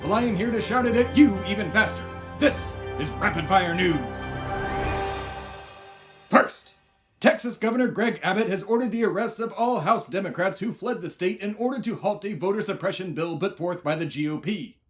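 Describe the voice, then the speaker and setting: A man's voice: high voice, moderate pace, husky, no room sound, soft background music. A man playing a bombastic, self-important immortal embodiment of America in a comedy podcast monologue. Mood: shouting, emphatic. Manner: pompous, shouting announcer bursts, rambling asides.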